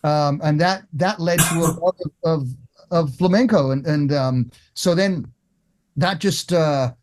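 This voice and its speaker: A middle-aged man from England, a guest guitarist, talking in a casual interview with many restarts and pauses. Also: on the high side. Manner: halting, many restarts and fillers.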